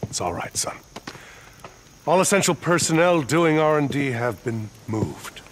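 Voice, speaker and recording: An actor playing an older, pious militia colonel in a video game, measured, formal and fatherly. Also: fairly high pitch, lively intonation, a little hiss or hum. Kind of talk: video game cutscene acting. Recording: game cutscene with music behind.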